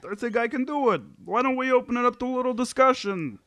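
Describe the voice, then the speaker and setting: A man reads the part of an insecure sitcom husband in an amateur table read. His voice is high and bright, whiny and defensive with a stammer, in a dry recording. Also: quick pace, no echo. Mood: eager, put out.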